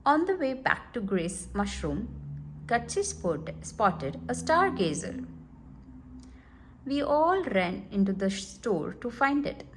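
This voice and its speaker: A woman reading a children's storybook aloud in an expressive, deliberate manner. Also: a little high, slight background noise. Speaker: storybook reader.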